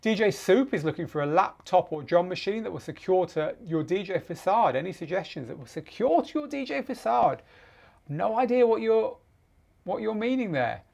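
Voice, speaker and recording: A man's voice: high voice, sing-song, moderate pace, vocal fry, a little mellow. A man hosting a casual live question-and-answer show, explaining off the cuff in a conversational way and repeating words for emphasis.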